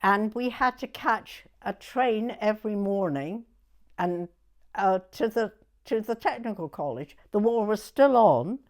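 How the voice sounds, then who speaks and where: A woman in her nineties with a southern English accent, reminiscing in an interview in a halting way, pausing to recollect. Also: mid-range voice, animated. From southern England. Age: 90s. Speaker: oral history interviewee.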